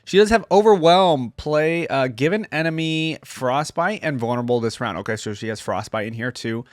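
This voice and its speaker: A man's voice: medium pitch, animated, moderate pace, no room sound, studio quality. Male reaction host: casual, off-the-cuff commentary in an average register, very little room sound.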